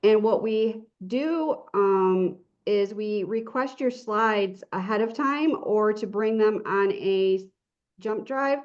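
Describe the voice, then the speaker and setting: A woman's voice: medium pitch, slow pace, darkish tone, far from the mic, muffled recording. A woman in charge of technology, explaining practical setup details in a meeting. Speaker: technology coordinator. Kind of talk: explaining logistics.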